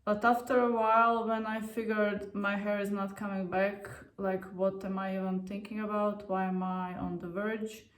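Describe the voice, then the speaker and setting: A woman in her thirties from Croatia, telling her own story to camera in a candid, unhurried way with frequent fillers. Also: mid-range voice, little pitch movement. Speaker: vlogger. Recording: roomy sound, mic at a distance.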